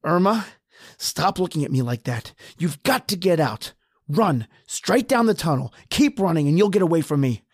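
A man's voice: a little high, very animated, normal speed, breathy edge, dry recording, studio quality. A man playing a desperate, injured husband trapped underground in a horror radio drama. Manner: pleading, ragged, then defiant.

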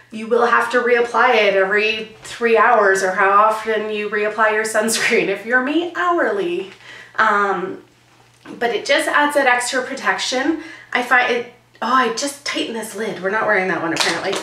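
A woman's voice: fairly high pitch, pushed and bright. Froggy-voiced woman vlogging. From Canada. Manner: chatty and jokey, demonstrating while talking. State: hoarse, froggy voice. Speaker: beauty vlogger. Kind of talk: casual product review. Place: small bright bedroom, indoors. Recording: roomy, slightly distant camera sound.

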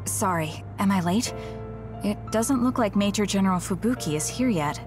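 An actress playing a calm, serious, resolute young woman soldier in an anime game dub. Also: mid-range voice, normal speed, music in the background, natural voice. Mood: casual.

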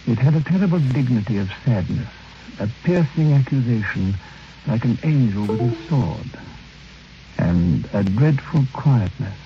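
An actor playing a sombre, troubled man who narrates an old radio drama in grave, measured, literary phrases. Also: medium pitch, animated, close to an everyday voice. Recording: dull, lightly hissy old radio recording.

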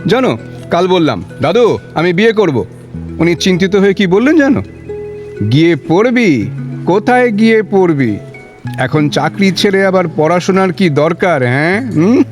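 A man's voice: high voice, sing-song, normal speed. An actor playing a chatty, polite young man in love in an audio drama. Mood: playful, teasing. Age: young adult. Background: music.

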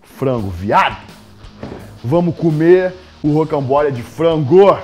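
A young Brazilian man hosting a comic cooking vlog in his home kitchen, brash and jokey, jumping from loud hype to low mutters. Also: expressive, slow, faint music behind. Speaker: cooking show host.

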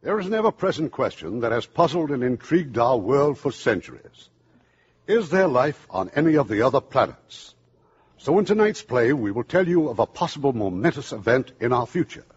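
Husky 1950s radio host, a man. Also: mid-range voice, very animated, moderate pace. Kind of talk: formal 1950s radio drama introduction. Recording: dull 1950s radio broadcast recording.

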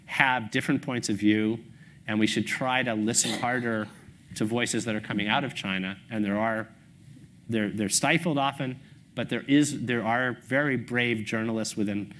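A man's voice: lowish voice, fairly bright tone. A man in his fifties, a guest on a panel at a public talk, speaking thoughtfully and often restarting as he forms his points. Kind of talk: panel discussion. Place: stage at a public talk, indoors.

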